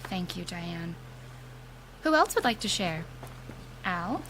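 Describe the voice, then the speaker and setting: An actress playing a solemn, gentle bereavement group leader in a fiction podcast, speaking in a measured, formal manner. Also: female voice, lowish voice, sing-song, slight background noise, full character voice, poor audio. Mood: gentle, consoling. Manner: measured, formal, deliberate phrasing.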